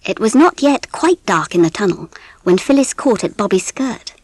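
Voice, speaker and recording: A woman's voice: mid-range voice, big pitch swings, moderate pace, darkish tone, no room sound. A woman with an English accent narrating a children's storybook as a scripted audiobook.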